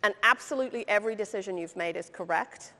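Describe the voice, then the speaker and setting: A woman leading a live coaching session on stage, lively, teasing and blunt. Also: mid-range voice, quick pace. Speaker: career coach, workshop leader. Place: conference stage with audience. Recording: long hall reverb.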